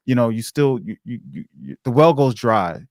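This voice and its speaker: A man hosting a casual podcast interview, talking haltingly and circling back to rephrase his questions. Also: medium pitch, big pitch swings, no room sound, studio quality.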